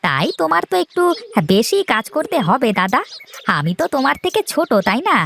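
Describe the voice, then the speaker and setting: A woman's voice: sits high, sing-song, fairly quick, creaky, fairly bright tone, a little hiss or hum, heavily acted. A woman voicing an impatient, cheeky farmer brother in a children's cartoon, whining and complaining. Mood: grumbling, cheeky. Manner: whiny, complaining, interjects often. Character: impatient, grumbling, cheeky middle brother of farming family.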